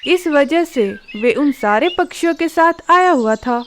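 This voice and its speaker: A woman narrating a children's cartoon story in a calm, even manner. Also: high-pitched, unhurried, slight background noise.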